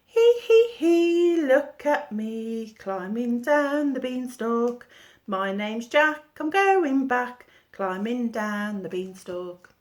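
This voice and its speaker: Woman doing a giggly storybook boy, squeaky high and bouncy, sings his lines. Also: big pitch swings, slow pace, a little mellow. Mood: sing-song. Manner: giggly sing-song. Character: silly cheeky young boy, giggly and brave.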